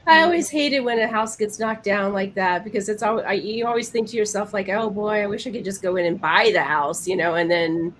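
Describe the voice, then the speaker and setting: A woman's voice: mid-range voice, fairly quick, hardly any pauses, a bit bright. A woman with a mid-range voice co-hosts an interview in a casual, conversational way. A faint layer of noise sits behind her voice. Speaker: podcast co-host, interviewer.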